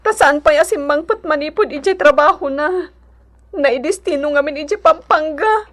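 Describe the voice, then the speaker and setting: A woman's voice: high voice, moderate pace, natural voice. An actress playing a desperate mother of a sick child in an Ilocano radio drama, pleading urgently and tearfully.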